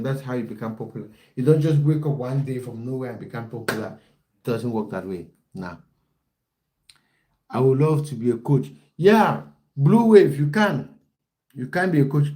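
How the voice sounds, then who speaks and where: Football coach guy on a live stream, warm mellow voice, says things twice, talks right to the chat. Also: mid-range voice. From Cameroon. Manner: repeats phrases, talks straight to viewers. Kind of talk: livestream chat, reading out viewer comments.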